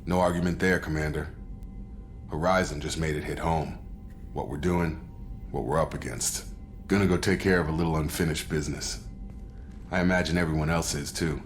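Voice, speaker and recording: An actor playing a loyal, reflective soldier crewmate in a video game, talking in a casual, plainspoken way with clipped sentences. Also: male voice, a bass voice, steady tone, normal speed, long pauses, soft background music. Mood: sober, reflective. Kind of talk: dramatic video game dialogue.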